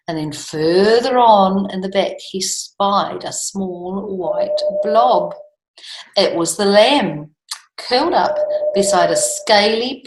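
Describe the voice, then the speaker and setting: A woman's voice: lowish voice, a little hiss or hum. New woman reading storytime, echoey room. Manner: emphatic, drawn-out key words, questions to young listeners. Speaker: children's storytime reader. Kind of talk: expressive picture-book reading with chatty asides.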